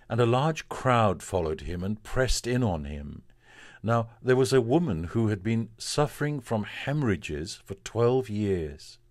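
A man, a priest, reading from the Bible in a solemn, measured and deliberate way. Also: on the low side, a little mellow, dry recording.

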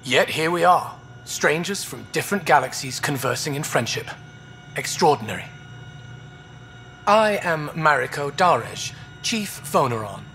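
A man playing a courteous, earnest alien astronomer in a video game, speaking in formal, measured phrases. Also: on the high side, animated, pushed and bright, faint music behind, full character voice. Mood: awed, honored. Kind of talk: video game dialogue acting.

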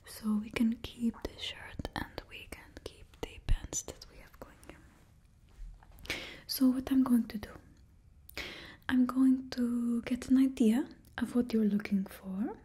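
A woman's voice: on the high side, fairly even intonation, slow, dark tone, slightly rough, heavily acted. A young woman voicing a bossy female stylist to supervillains with a put-on Russian accent, whispering blunt remarks close to the mic. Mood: whispery. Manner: blunt and condescending. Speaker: ASMR creator. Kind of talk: ASMR roleplay. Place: indoor studio with painted backdrop. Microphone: close ASMR mic.

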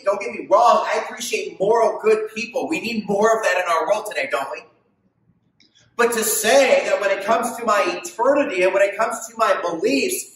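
A man in his thirties preaching a sermon, emphatic and asking the congregation questions as he gestures on stage. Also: high-pitched. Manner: gestures widely while preaching. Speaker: pastor. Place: stage of a large indoor hall. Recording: very echoey hall, mic far off.

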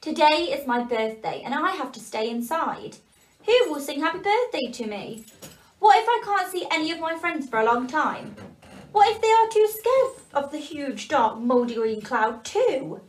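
A woman voicing a small, tearful young male elf who sobs and pleads in a high, wavering voice. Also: very animated, normal speed, echoey room, recorded from a distance. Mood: lonely. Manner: worried, pleading questions. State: sobbing.

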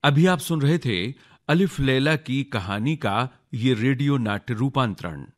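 A man's voice: mid-range voice, expressive, unhurried. A man reading a formal scripted announcement as a radio announcer.

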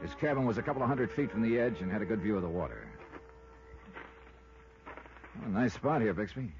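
An actor playing a wry, unflappable insurance investigator in a 1950s radio detective drama, clipped, dry and sardonic. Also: male voice, lowish voice, animated, very fast, a little mellow, soft background music. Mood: matter-of-fact. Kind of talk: 1950s radio detective drama acting and first-person narration. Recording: muffled old radio recording.

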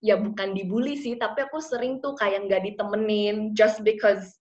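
A young woman chats as an interview guest in a casual, animated way, slipping fluently between languages. Her voice sits at an average pitch, and the sound is dull and lo-fi, as over a video call. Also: normal speed. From Indonesia, raised partly abroad in London and Ethiopia. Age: 20s. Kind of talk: casual chat mixing Indonesian and English. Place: small plain room indoors.

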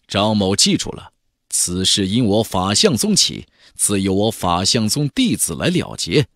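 A man voicing a composed, resolute immortal cultivator hero in an audiobook, speaking in a measured, formal way. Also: lowish voice, a bit bright, no echo, studio quality, exaggerated voice. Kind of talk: audiobook character voice.

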